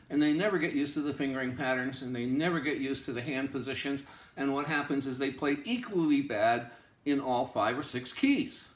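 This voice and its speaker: A man with a fairly high voice teaches in an informal lecture style. He explains things emphatically, often repeating phrases to drive them home. The recording is noticeably roomy, with clear echo around his voice. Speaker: music teacher. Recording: roomy, echoing room sound.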